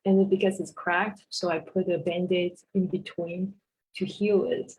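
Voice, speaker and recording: A woman's voice: on the low side, little pitch movement, a little slow, a little mellow, a little hiss or hum, low-quality recording. A woman from Taiwan presenting her sculpture work on a video call, talking hesitantly with many fillers. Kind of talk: casual presentation.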